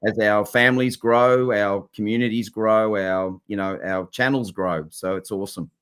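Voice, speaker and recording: A man's voice: lowish voice, steady tone, moderate pace, a bit bright, clear, resonant tone. A man with an Australian accent, co-hosting a casual live-stream chat in a friendly, upbeat way with plenty of restarts. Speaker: co-host.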